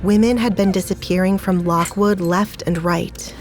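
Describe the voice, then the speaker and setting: A woman narrating a romance audio drama in a measured, even storytelling manner. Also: fairly low pitch, faint music behind.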